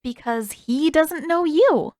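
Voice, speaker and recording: Woman doing a feisty teen girl in an audiobook, defensive n wry, kinda high voice, stubborn, emphatic. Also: slow pace, darkish tone, no room sound, studio quality. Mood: firm, exasperated.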